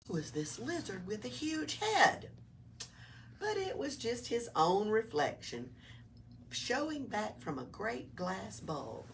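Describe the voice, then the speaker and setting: Slow, very animated woman reading a storybook. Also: medium pitch, creaky. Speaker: library storytime reader. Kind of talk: children's storybook read-aloud. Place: library room. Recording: distant microphone, noisy room.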